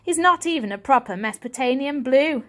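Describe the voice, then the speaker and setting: A woman's voice: sits high, expressive, fairly quick, voice pushed up. A woman voicing a scornful young male cat who bullies, sneering and threatening, in a storybook reading.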